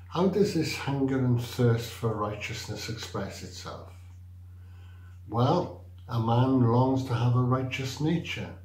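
Slow-spoken older man preaching. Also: mid-range voice, a little mellow. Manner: slow, measured preaching with frequent fillers. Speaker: church devotional speaker. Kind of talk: daily devotional talk. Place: small indoor room, plain wall. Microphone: webcam or camera facing him at desk. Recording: roomy echo.

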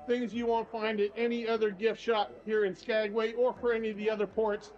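A man's voice: sits high, flat delivery, brisk, darkish tone. An older man giving a casual walking tour of shops, chatty and upbeat, recorded on a handheld camera while he walks. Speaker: travel vlogger and tour guide. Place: shops and streets of a small town, indoors and out. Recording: slightly dull sound, faint music behind.

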